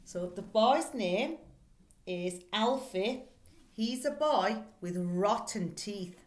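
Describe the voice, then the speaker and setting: A middle-aged woman speaks as a teacher giving a read-aloud lesson. Her pace is slow and deliberate, with expressive storytelling and questions for young listeners. The sound is echoey, picked up by a desk-distance microphone in a small room. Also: mid-range voice. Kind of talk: read-aloud lesson for pupils, reading and explaining a children's book. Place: small plain indoor room. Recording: echoey, roomy indoor recording.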